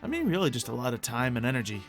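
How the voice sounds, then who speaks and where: A man's voice: mid-range voice, wildly swooping pitch, racing, soft background music. A man playing a polite, smug android inventor in an improvised game roleplay, musing in formal, precise wording. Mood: explaining, smug.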